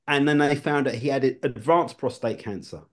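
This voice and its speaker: A man, a former television producer, talking as a guest in a relaxed conversation, often restarting his phrases. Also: mid-range voice, brisk. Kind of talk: casual conversation.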